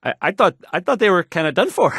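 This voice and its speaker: A man's voice: sits high, big pitch swings, fairly bright tone, breathy edge, no echo, studio quality, exaggerated voice. A man playing a timid, awkward young radio DJ in a video game, hesitant and apologetic as he stammers through the news in a shaky voice. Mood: flustered. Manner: stammering, halting, apologetic, trailing off. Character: timid, awkward young male radio DJ, anxious and unsure of himself.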